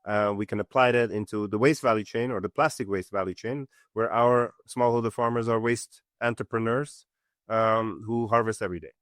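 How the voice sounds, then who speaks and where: A middle-aged man from Switzerland, a company co-founder, answering interview questions in an earnest, halting way with many fillers. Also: lowish voice, no room sound, studio quality. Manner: earnest, many fillers and restarts, steady explanation. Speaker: company co-founder and CEO, guest.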